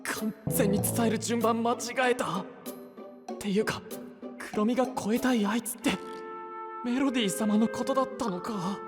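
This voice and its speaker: An actor playing a brash, troubled young male knight in an anime voice drama, speaking roughly and emotionally. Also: very high-pitched, normal speed, over music. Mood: stunned, regretful. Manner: rough casual speech, emotional. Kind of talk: Japanese anime voice drama acting.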